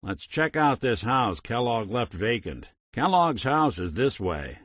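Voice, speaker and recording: An actor playing a world-weary male synth private detective in a video game, with a gravelly voice and dry, wry noir delivery. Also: medium pitch, very animated, a little slow, fairly bright tone, no room sound. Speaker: companion character.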